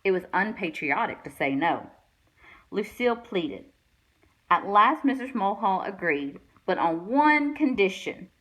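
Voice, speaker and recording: A woman's voice: mid-range voice, sing-song, measured pace, a bit bright, poor audio. Woman reading a children's picture book aloud. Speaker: guest read-aloud reader.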